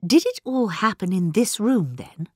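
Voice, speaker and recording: Woman as a gentle elderly lady, unhurried. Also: mid-range voice, animated, no echo, clean studio sound, voice pushed up. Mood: mildly curious. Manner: gentle, polite, measured. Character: gentle, shrewd, polite elderly village lady.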